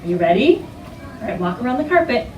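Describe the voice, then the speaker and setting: A woman's voice: a little high, sing-song, fast talker, warm tone, highs cut off. Woman teacher in an echoey, noisy classroom. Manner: simple instructional phrasing with frequent questions and prompts. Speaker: early-childhood teacher. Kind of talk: interactive read-aloud lesson for young children. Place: indoor classroom with carpet. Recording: echoey, noisy room, distant microphone.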